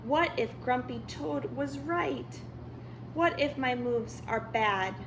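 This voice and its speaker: A woman reads a children's story aloud in a fairly high voice. She goes slowly and expressively, and there is faint noise in the recording. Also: poor audio. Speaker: story reader. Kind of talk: children's picture book read-aloud.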